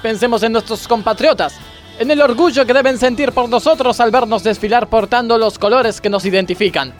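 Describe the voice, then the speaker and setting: A man's voice: very high-pitched, a little fast, soft background music. A man acting a pompous, moralizing gymnastics coach who makes grandiose speeches in a parody radio play. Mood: solemn pride. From Argentina. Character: pompous, moralizing male gymnastics coach, scolding yet easily distracted.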